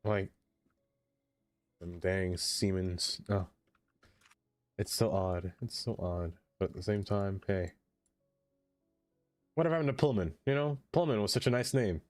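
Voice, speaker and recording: A man streaming a game in casual livestream chat, with a stuffed-up nose, chatty and excitable as he greets viewers by name. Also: sits low, measured pace, long pauses, dry recording, soft background music.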